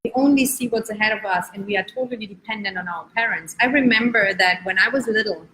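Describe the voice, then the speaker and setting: A woman from Spain, raised in Germany, giving an earnest, encouraging motivational talk as a life coach, slowing down to stretch key words. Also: mid-range voice, pushed and bright, poor audio. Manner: earnest, encouraging, uneven pace with drawn-out words. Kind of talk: live-streamed motivational talk.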